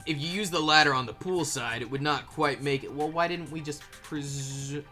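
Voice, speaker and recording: Very bright-voiced man playing a game. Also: on the high side, moderate pace, faint music behind. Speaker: gameplay host. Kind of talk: casual game playthrough with dubbed line reading.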